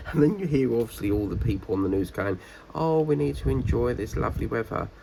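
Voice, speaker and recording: A man, a diamond painting vlogger, rambling casually with lots of fillers while his voice is croaky and congested from a cold. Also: mid-range voice, expressive, moderate pace, background noise. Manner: rambling, chatty, many fillers and restarts. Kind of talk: casual chat while crafting.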